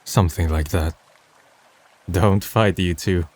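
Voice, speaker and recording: A man's voice: sits low, steady tone, slow pace, darkish tone, no room sound. A man voicing a downcast, brooding young man who narrates his own story in a subdued, introspective way for an amateur manga dub. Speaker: narrator and lead character.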